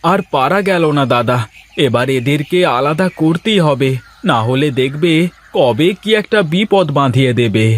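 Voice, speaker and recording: A man's voice: fairly high pitch, lively intonation, moderate pace, slight background noise, close to an everyday voice. Man acting a weary, plaintive family man. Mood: exasperated. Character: weary village husband and son, fed up with family quarrels. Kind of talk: Bengali cartoon dialogue acting.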